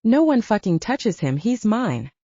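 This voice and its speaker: Woman voicing an angry, brutish male werewolf, animated delivery. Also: mid-range voice, a little slow, dry recording, highs cut off, pitched above the performer's own voice. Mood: angry, menacing. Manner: aggressive, profane, challenging.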